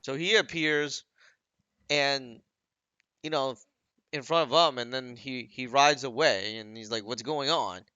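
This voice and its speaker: Bright-voiced man rambling through game commentary. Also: mid-range voice, a little slow, long pauses, clear, resonant tone, no echo, lo-fi sound. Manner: rambling, second-guesses his own wording. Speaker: gaming video creator. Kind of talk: casual off-the-cuff game commentary.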